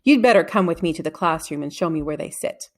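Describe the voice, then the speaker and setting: A woman voicing a brisk, shrewd female deputy headmistress in a children's storybook. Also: sits low, lively intonation. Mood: brisk, probing.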